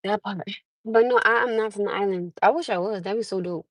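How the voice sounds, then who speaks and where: A woman's voice: mid-range voice, brisk, dry recording, clean studio sound. A woman from the United States chatting live with her viewers from her parked car on a phone camera, laughing often and calling them "my love.". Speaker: livestream host. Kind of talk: casual live chat with viewers.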